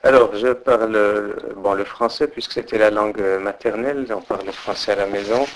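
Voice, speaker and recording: A slightly low-voiced middle-aged man from Portugal speaks in a relaxed interview. He hesitates and restarts often as he recalls details, filling the gaps with pauses. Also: slight background noise. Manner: hesitant, many fillers and restarts. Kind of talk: oral history interview.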